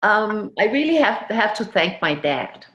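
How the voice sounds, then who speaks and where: A woman from Denmark who grew up in Venezuela, speaking English with an accent as an interview guest, animated and laughing often. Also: medium pitch, measured pace, echoey room, highs cut off. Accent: foreign accent. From Denmark, raised in Venezuela. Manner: animated storytelling, laughs often, many restarts. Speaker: entrepreneur guest. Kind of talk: casual interview chat.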